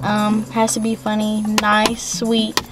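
A woman of twenty on a blind date in a car, relaxed, giggly and outgoing. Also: mid-range voice, takes it slowly, distant mic. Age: 20s. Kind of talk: casual chat. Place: inside a parked car at night. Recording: music playing under the talk.

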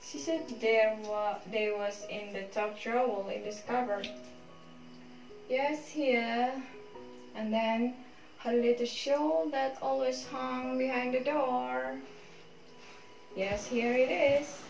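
A woman's voice: on the high side, steady tone, slow, creaky. A young woman playing a timid, dutiful sheriff's wife in an amateur stage drama. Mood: hesitant. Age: young adult. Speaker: student actor in a class play. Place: small bare dim room indoors. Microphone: far camera. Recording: echoey distant room recording, music behind.

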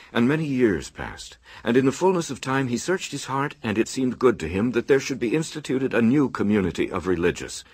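Actor as a deep, solemn monk chronicler. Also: male voice, breathy edge, no room sound. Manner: solemn, oratorical. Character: solemn monk chronicler reciting his order's sacred history. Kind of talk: archaic, scripture-like radio drama recitation.

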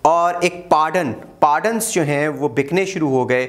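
A man gives an exam-prep history lecture. He gestures a lot, repeats key dates and often pauses with "uh". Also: a little high. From Pakistan. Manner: animated, gestures widely, repeats key dates, frequent "uh" fillers. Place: small room in front of a whiteboard. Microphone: lapel mic.

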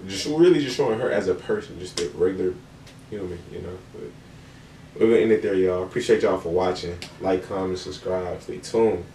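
A man in his twenties hosting a reaction video, recapping in a relaxed, meandering way with many fillers, recorded in a small indoor room with a webcam. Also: deep voice, brisk, echoey room, distant mic, noisy background. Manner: relaxed, meandering, lots of fillers and "you know". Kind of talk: casual rambling recap.

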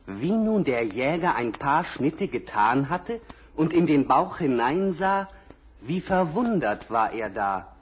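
A man's voice: a little high, sing-song, slow, husky. A man narrating a fairy tale for children, addressing the listeners directly, in a 1960s radio play. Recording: crackly 1960s vinyl record.

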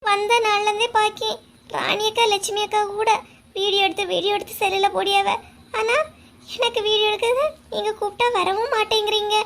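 A very high, squeaky-voiced young village wife, voiced by a woman in a cartoon comedy, with a Kanyakumari Tamil accent. She pleads and whines to coax her husband. Faint noise sits behind the voice. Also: little pitch movement, fairly quick, a bit bright. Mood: sulking. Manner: whiny, coaxing, pleading.

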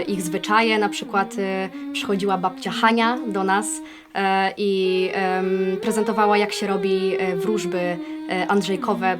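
Young woman, normal pitch, telling what she remembers, kinda halting. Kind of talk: informal personal recollection.

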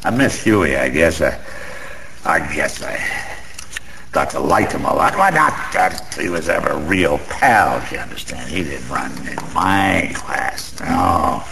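An actor playing a boozy, sentimental, boastful small-time gambler in a radio drama, rambling in old-fashioned street slang with a gravelly voice. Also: male voice, extremely low pitch, animated, measured pace, full character voice. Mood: wistful. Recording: faint background noise.

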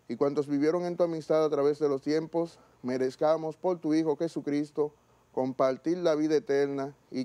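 A man in his thirties, a priest, reciting the eucharistic prayer at mass in a measured, solemn way, in a small chapel studio. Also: on the high side, mellow.

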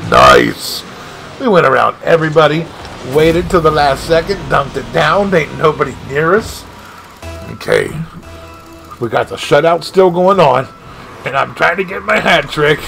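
A man streaming a video game live, giving excitable play-by-play with shouted catchphrases. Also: high-pitched, creaky. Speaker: gaming streamer. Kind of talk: live gameplay commentary.

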